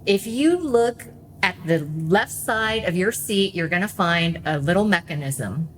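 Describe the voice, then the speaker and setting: An unhurried female voice of an actress plays a self-promoting celebrity guest. She is showy, gushing and a bit catty, in radio-play style. Some ambient noise sits behind her. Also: on the low side, lively intonation. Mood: commanding.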